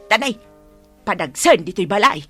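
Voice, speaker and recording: A woman's voice: mid-range voice, expressive, moderate pace, faint music behind, natural voice. An actress playing a harsh, nagging mother who belittles her daughter in an Ilocano radio drama. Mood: angry, nagging. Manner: nagging, exclaiming.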